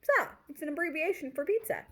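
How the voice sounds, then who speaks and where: A woman's voice: high-pitched, expressive, fairly quick, pitched above the performer's own voice. A woman voicing a bubbly, playful college girl with a breezy, slangy way of talking in a read-aloud story.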